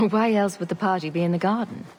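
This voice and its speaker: Woman as a sarcastic, lower-voiced heroine. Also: brisk, soft background music, close to an everyday voice. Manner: dry, deadpan quips. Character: sarcastic, self-assured female champion adventurer. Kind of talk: video game cutscene acting.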